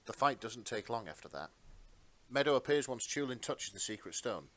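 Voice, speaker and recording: A man's voice: mid-range voice, expressive, moderate pace, a bit bright. A man narrating fan fiction as a podcast co-host in a dramatic storytelling style.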